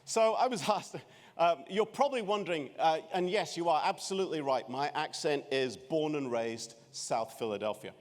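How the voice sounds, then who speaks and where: A man's voice: fairly high pitch, animated, moderate pace. Scottish man in his fifties, dry self-deprecating humor, keynote in a big echoey room. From Scotland. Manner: wry, self-deprecating, many restarts. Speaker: keynote speaker. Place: large conference room. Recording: reverberant conference hall.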